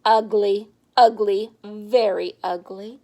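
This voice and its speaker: A woman voicing jeering schoolchildren in a storybook, with drawn-out taunts. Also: mid-range voice, steady tone, a little mellow, natural voice. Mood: taunting. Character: mocking schoolchildren jeering at a classmate.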